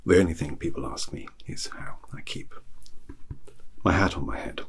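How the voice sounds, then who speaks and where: Casting: male actor as indecisive living tarot figure, ASMR roleplay, extremely low and heavily husky, wry, rambling, self-deprecating. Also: lively intonation, moderate pace, exaggerated voice. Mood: wryly musing. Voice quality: low, husky, breathy half-whisper. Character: the Hanged Man tarot card, musing, indecisive, wry.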